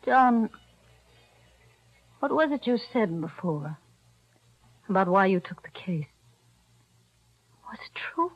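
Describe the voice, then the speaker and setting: An actress playing a soft, breathy young woman, in 1940s radio acting. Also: medium pitch, big pitch swings, takes it slowly, long pauses, dry recording. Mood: tender. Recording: dry dull old radio recording.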